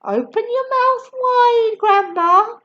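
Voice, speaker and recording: A woman voicing a cheeky, excited young boy with an eager, coaxing manner. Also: extremely high pitch, takes it slowly, voice pushed up. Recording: dull lo-fi audio.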